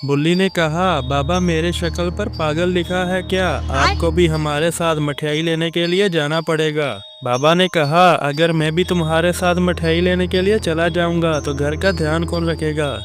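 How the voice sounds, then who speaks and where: Man, high voice, scripted cartoon narration, reads out all the dialogue, music playing behind. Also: fairly even intonation, hardly any pauses. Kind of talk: scripted narration of a comic children's horror cartoon, voicing all dialogue himself.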